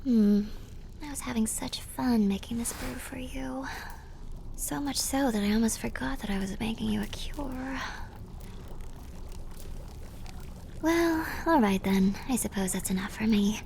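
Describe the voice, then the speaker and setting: A woman playing a clumsy, affectionate and slightly mad plague doctor girlfriend in a theatrical, breathy ASMR roleplay. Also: fairly high pitch, normal speed, a little mellow, slight background noise. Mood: gentle, musing. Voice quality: breathy, often whispered. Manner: theatrical, affectionate, quaint turns of phrase. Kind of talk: ASMR roleplay, scripted character acting.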